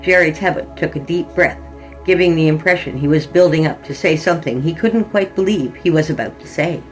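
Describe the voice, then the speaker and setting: A woman reading scripted horror fiction as a podcast narrator, in a measured, dramatic storytelling manner. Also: low-pitched, faint music behind, poor audio.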